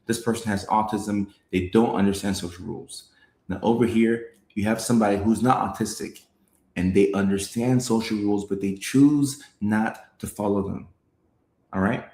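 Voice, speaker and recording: A man's voice: on the low side, normal speed, roomy sound. A man who grew up in Norway, hosting a show and explaining things in casual talk, acting out quoted voices and checking in with his listeners. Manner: animated, acts out quoted voices, repeats phrases, checks in with "right?". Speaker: show host. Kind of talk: casual explanatory talk, reading chat comments.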